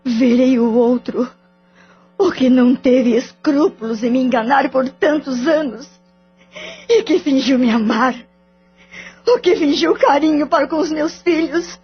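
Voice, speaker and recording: A betrayed, grieving wife in an audio drama, her high voice shaking with tears. The sound is dull and lo-fi with soft music underneath. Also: a little slow, husky, full character voice. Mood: tearful. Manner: tearful, pleading, wounded. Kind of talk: radio drama acting.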